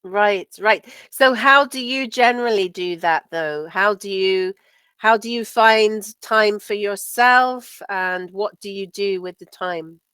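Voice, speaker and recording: A woman English teacher talks casually in a dry, close-sounding setting. She has a slight croak from a sore throat, though the voice still carries smoothly. She is chatty and often rounds off with little tag questions. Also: medium pitch, slow pace, fairly bright tone, no echo. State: sore throat, recovering from flu. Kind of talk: casual conversational lesson. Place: video call from home.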